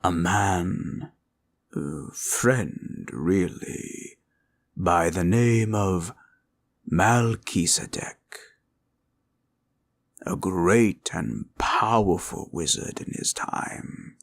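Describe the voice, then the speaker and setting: An ancient red dragon, with a low, husky voice that swells and dips. He speaks very slowly in formal, old-fashioned phrases, fatherly and tender, as in a fantasy audio roleplay. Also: animated, full character voice. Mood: grave, reminiscing. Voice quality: deep, gravelly, husky voice.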